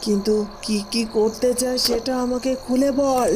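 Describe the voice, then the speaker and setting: A woman's voice: a little high, fairly even intonation, noisy background, natural voice. A woman voicing a commanding forest witch mystic in a cartoon, speaking bluntly and imperiously. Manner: slow, imperious, addresses others bluntly. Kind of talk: Bengali cartoon voice acting.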